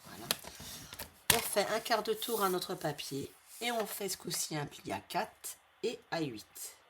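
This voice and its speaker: A woman with a slight head cold hosting a craft tutorial in a friendly, chatty way, repeating each measurement slowly for her viewers. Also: lowish voice, very animated, heavy background noise. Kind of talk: casual step-by-step craft tutorial.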